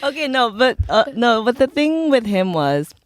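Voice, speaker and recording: A Korean woman raised partly in Hong Kong, a podcast guest who talks in a giggly, hesitant, halting way. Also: on the high side, very animated, no room sound, studio quality. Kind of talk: casual podcast chat.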